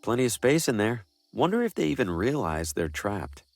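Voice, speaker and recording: A man's voice: mid-range voice, very animated, normal speed, creaky, faint music behind. A man playing a curious, hesitant traveling salesman in a video game, with a wry way of talking. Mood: wry musing. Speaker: protagonist character. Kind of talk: video game voice acting, dialogue and musing asides.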